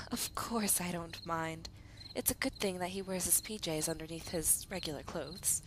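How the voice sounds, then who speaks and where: A woman voicing a gentle, motherly monster lady who is polite and affectionate, in a comic dub. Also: on the low side, normal speed, noisy background, lightly acted. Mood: warm, grateful. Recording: music playing behind.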